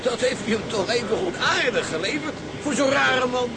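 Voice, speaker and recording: An actor playing a cynical, down-to-earth sailor with a blunt, sardonic way of talking in a maritime drama. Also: male voice, extremely high pitch, expressive, normal speed, creaky, a loud crowd, very noisy, exaggerated voice. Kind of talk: dramatic seafaring acting.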